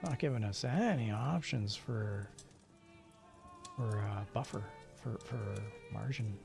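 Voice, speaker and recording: A man with a creaky, gravelly edge talks casually on a livestream, muttering to himself and often slipping into a near whisper. Music plays clearly behind his voice. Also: fairly low pitch, takes it slowly. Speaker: streamer. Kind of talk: casual livestream chat while troubleshooting settings.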